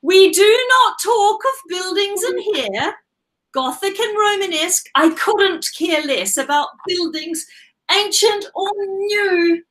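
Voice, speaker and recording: A woman's voice: extremely high pitch, slow, fairly bright tone, voice pushed up, full character voice, low-quality recording. A woman voicing a stern, easily frightened female second-grade teacher from a children's picture book, scolding and dramatic with great emphasis.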